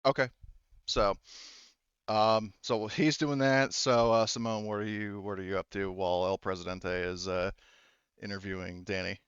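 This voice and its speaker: A man runs a tabletop game, improvising narration in a halting, casual way and repeating phrases. His voice is low and smooth. The recording is dry and a little muffled. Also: flat delivery, a bit bright, no echo. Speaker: game master.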